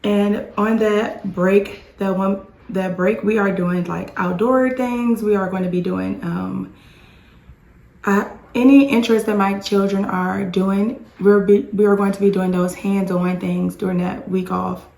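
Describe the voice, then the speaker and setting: A woman in her thirties, slightly hoarse, chatting in a rambling homeschool vlog from her home. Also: mid-range voice, fairly even intonation, a little slow, darkish tone, reverberant. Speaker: homeschooling mom vlogger. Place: home with dishwasher and dryer running.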